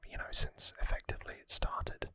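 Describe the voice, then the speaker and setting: An ASMR host speaking in a breathy, unvoiced whisper, gentle and earnest, slightly rambling to viewers, close on the microphone. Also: fairly quick, no echo. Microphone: close microphone in a dry space.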